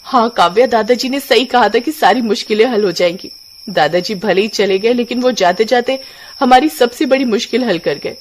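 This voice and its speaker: A woman's voice: on the high side, moderate pace, close to an everyday voice. A woman voicing a clever, confident young elder sister from a village in a scripted story. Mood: excited, assured.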